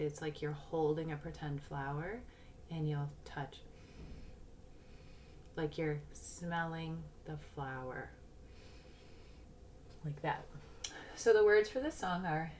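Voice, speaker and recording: A woman with a slightly low voice teaches young children at a very slow pace. She explains things simply, step by step, as an early primary teacher does in a read-aloud lesson. Some noise sits behind her voice. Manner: simple step-by-step explaining, sounding out phrases deliberately.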